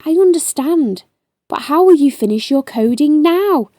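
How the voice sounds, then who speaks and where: A woman voicing a calm, kind young ninja friend from a children's storybook, patient, gentle and encouraging as they explain things. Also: high-pitched, unhurried, dry recording, studio quality, pitched above the performer's own voice. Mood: concerned, gentle.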